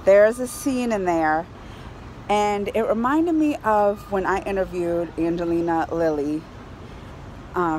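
A middle-aged woman giving a casual, excited review to camera in an animated, colloquial way. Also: lowish voice, rough recording. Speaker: vlogger reviewing a film. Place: outdoors on a high-rise terrace in a city. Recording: clear outdoor ambient city noise.

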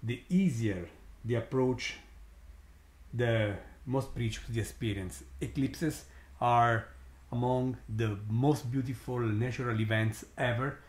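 A man with an Italian accent, his first language Italian, hosting a live astronomy stream and commenting slowly with many pauses and fillers, sounding amazed. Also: mid-range voice, reverberant. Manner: slow, halting, many fillers, awed.

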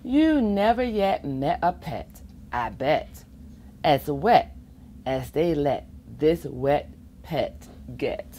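A middle-aged woman reading a children's picture book aloud in an expressive sing-song way, with playful asides. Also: low voice, takes it slowly. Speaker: volunteer children's storybook reader. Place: school or public library children's corner, indoors. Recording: faint room ambience noise.

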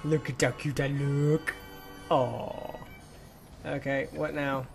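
A young man excitedly and chattily commenting as he plays a video game. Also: medium pitch, slow, vocal fry. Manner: excitable, chatty, swears lightly. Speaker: let's play gamer. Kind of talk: casual gameplay commentary. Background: game music.

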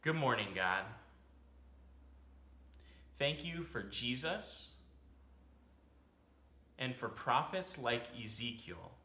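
A man's voice: mid-range voice, expressive, slow pace, pauses often, pushed and bright. A young American man speaking as a pastor giving a children's sermon, with simple, reassuring phrasing. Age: young adult. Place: small home room, indoors. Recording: echoey room, faint background noise.

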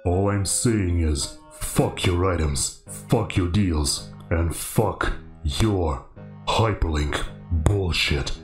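A man playing a blunt, foul-mouthed, fed-up customer in a comedy fandub, with a deadpan irritated delivery. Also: sits low, takes it slowly, roomy sound, soft background music. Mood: fed up, scornful.

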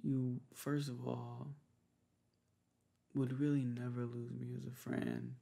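A young man doing an intimate ASMR roleplay, talking softly and casually in a halting, laid-back way, with a breathy voice. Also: mid-range voice, flat delivery. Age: young adult. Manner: hesitant, halting, laid-back pillow talk. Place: small bedroom, lying on a bed.

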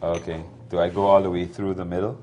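A man's voice: sits low, little pitch movement, quick pace, dark tone. A middle-aged man hosting a cooking show, chatting casually with questions and jokey asides. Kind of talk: casual chat while cooking. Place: TV kitchen studio set.

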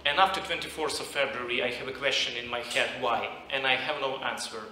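A man in his thirties with a Ukrainian accent speaks in halting, unscripted English on a panel, searching for words. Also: mid-range voice, bright, forceful tone. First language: Russian and Ukrainian. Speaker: comedian, panelist. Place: large glass-walled event space, panel before seated audience. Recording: echoey public hall, noticeable room noise.

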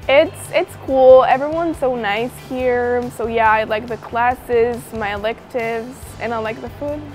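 A woman's voice: fairly high pitch, a little slow, a little mellow, faint music behind. Teen girl from Mexico, casual chat. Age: teens. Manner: casual with fillers. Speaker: interviewee. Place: outdoors on campus. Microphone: lapel.

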